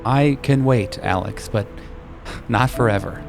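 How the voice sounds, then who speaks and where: A man's voice: lowish voice, unhurried, creaky, music in the background. A man playing a man in his late twenties, a patient but wounded lover, in an audio drama. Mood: earnest, hurt.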